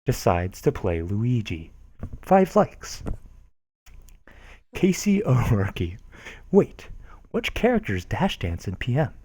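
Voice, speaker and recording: A man's voice: mid-range voice, wildly swooping pitch, slow pace, long pauses, dark tone, breathy edge, no room sound. A young man reading online posts aloud to viewers, with amused, chuckling asides in between. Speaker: streamer reading forum posts.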